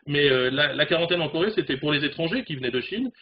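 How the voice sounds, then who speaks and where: A man in his forties reporting as a correspondent on a remote news discussion, animated, speaking from a room at home over a webcam. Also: on the high side. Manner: fast, animated, talks with hands. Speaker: correspondent in South Korea. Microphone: video call webcam. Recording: dull video call audio, faint noise.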